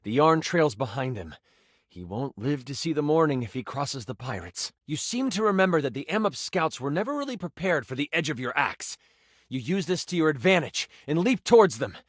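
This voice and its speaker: Animated, theatrical game master. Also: male voice, fairly high pitch, brisk, dry recording, studio quality. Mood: dramatic. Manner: theatrical, second-person storytelling. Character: animated male dice game master narrator, playful storyteller. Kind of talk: dramatic scripted tabletop fantasy narration.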